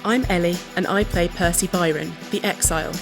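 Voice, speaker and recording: A woman's voice: on the low side, steady tone, a little fast. A woman reading a scripted introduction of her game character. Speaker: cast member introducing a character. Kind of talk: scripted narration. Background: music.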